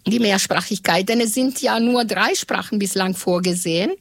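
Halting woman. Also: mid-range voice, dry recording. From Italy. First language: Italian. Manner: halting, with frequent pauses and fillers. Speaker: radio journalist. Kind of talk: interview answers.

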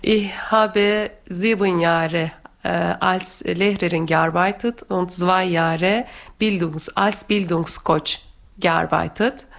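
A woman from Turkey with a Turkish accent, a teacher speaking careful, halting non-native German as she talks and reads poetry. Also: fairly low pitch, steady tone. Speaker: guest teacher reading poetry. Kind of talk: personal talk and poetry reading.

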